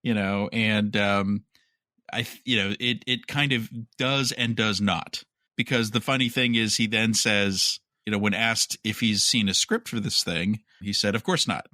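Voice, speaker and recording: A man's voice: lowish voice, steady tone, unhurried, bright, forceful tone, no room sound, studio quality. A man co-hosting a podcast, casually talking through news with a wry, skeptical manner. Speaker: podcast co-host.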